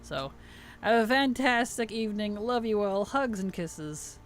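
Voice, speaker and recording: A woman game streamer chatting casually and playfully on a livestream, her tired voice starting to weaken and turn gravelly. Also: mid-range voice, lively intonation, measured pace, a little hiss or hum. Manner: playful, teasing, chuckles often. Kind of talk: casual livestream chat over gameplay.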